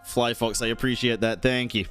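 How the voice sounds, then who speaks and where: A man's voice: medium pitch, level tone, normal speed, fairly bright tone. Casual male game streamer with music behind. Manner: informal, reacting aloud and chatting to viewers.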